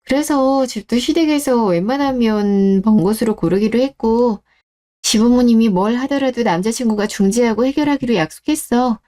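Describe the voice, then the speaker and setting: A woman's voice: on the high side, normal speed, dark tone, natural voice. A woman playing a level-headed young bride-to-be in a scripted story-radio drama, composed, direct and matter-of-fact. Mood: resolute.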